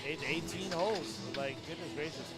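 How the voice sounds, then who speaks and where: A man hosting a pinball stream in a loose, rambling way, joking and restarting his sentences. His voice sits a bit high for a man. Music plays clearly behind him. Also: moderate pace. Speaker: stream host. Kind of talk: casual chat on a pinball stream.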